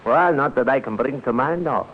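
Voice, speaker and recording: A man's voice: medium pitch, moderate pace, close to an everyday voice. An actor playing a cocksure, colloquial working man as a witness in a 1950s radio drama. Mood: folksy, cocksure. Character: cocksure working male witness, Mister Jacobs. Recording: tinny, noisy 1950s radio recording.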